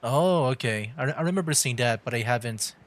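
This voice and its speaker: A man's voice: mid-range voice, animated, fairly quick. A man giving casual, rambling commentary on a game livestream, often repeating himself as he chats. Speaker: livestreaming VTuber gamer.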